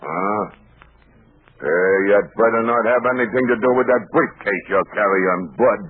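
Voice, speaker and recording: An actor playing a blunt, suspicious gangster thug with an American accent in a 1940s radio comedy. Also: male voice, on the low side, moderate pace, close to an everyday voice. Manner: gruff, blunt, slangy. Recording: muffled 1940s radio recording.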